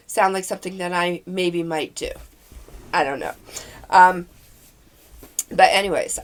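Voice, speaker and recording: A woman with a cold and a congested, nasal voice, chatting and rambling about the books she is reading. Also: lowish voice. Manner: rambling, chatty, full of fillers. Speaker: book vlogger. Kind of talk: casual chat about books.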